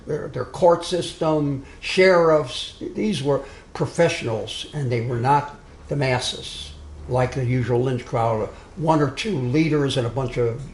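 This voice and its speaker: A man giving an informal history lecture to a small live audience, speaking loudly and wandering into asides and restarts. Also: mid-range voice, reverberant, a little hiss or hum, poor audio. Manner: loud, digressive, with many restarts and asides. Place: meeting room with a live audience.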